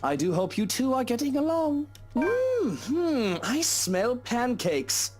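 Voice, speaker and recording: A teasing rich husband, theatrical and full of swoops, his pitch leaping all over. Soft game music runs behind him. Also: very animated, takes it slowly, heavily acted. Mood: playful. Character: playful rich young husband, teasing and greedy. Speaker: wealthy client.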